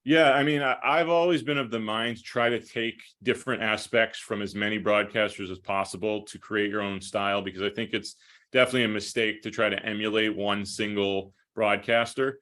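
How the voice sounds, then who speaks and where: A man's voice: fairly low pitch, fairly even intonation, a little fast, fairly bright tone. An American man who works as a sports broadcaster, talking conversationally and at length in thoughtful, detailed interview answers. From United States, upstate New York. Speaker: hockey play-by-play broadcaster.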